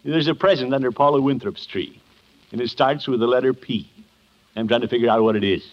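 An actor playing a pompous, fretful middle-aged uncle in a 1950s radio sitcom, blustery and muttering. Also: male voice, mid-range voice, fairly quick, close to an everyday voice. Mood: grumbling, brooding. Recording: muffled, noisy vintage radio recording.

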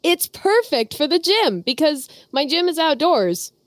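A woman's voice: sits high, sing-song, unhurried, no room sound, clean studio sound. A young woman co-hosting a podcast in casual, teasing banter while getting over a head cold. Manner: playful and teasing. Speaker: podcast co-host.

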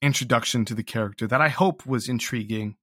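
A man chatting casually and reflectively as an interview guest, halting and self-deprecating. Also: medium pitch, sharp tone. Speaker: interview guest, audio drama creator.

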